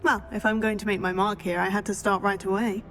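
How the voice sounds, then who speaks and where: A woman's voice: mid-range voice, level tone, a little fast, a bit bright, a little hiss or hum, close to an everyday voice. A woman playing a polite, confident, inquisitive teenage student witch in a video game. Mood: polite, curious. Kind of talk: scripted video game dialogue.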